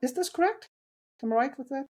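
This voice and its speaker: A woman's voice: high voice, expressive, a little fast. Earnest reflective woman guest. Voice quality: loud voice. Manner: earnest and reflective, with light laughs. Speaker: podcast guest, parent. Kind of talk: casual interview conversation.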